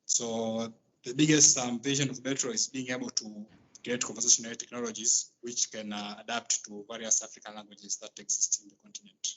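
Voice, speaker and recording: Tanzanian male founder, monotone, halting delivery, faint background hiss. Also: medium pitch, rough recording. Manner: steady, halting with fillers. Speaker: startup co-founder and CEO, panelist. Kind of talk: self-introduction on a panel.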